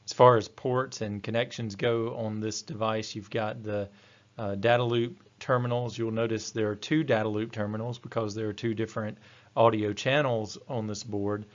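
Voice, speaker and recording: Low, flat-voiced male technical instructor. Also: normal speed, dull audio. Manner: matter-of-fact, methodical. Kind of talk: slide-based technical lecture.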